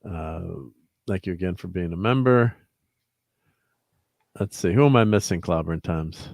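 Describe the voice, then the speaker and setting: Low-voiced man hosting a chatty livestream. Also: normal speed, darkish tone, no echo, studio quality. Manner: rambling, reading out chat names, laughing often. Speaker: host. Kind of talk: casual livestream chat.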